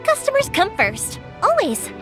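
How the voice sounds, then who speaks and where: Very high, cheerful young fireworks maker. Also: female voice, huge pitch swings, unhurried, heavily acted. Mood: reassuring. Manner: chatty, informal, eager. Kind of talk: video game voice acting. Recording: music behind the voice.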